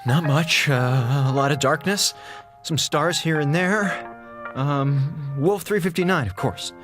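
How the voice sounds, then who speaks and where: An actor playing an excitable, sarcastic comms officer on a space station in an audio drama, rambling and melodramatic. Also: male voice, fairly high pitch, slow pace, music in the background. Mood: hesitant, puzzled. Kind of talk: modern audio drama in Golden Age radio style.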